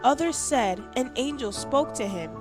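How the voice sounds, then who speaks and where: A woman with a high voice reads a scripted devotional aloud as its narrator. Soft music accompanies the reading. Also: animated, measured pace. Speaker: devotional reader.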